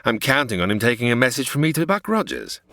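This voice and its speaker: A man's voice: mid-range voice, sing-song, quick pace, pushed and bright, no echo, studio quality. An actor playing a scheming male villain in a comedy audio drama, with theatrical delivery. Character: scheming male villain Killer Kane, smug.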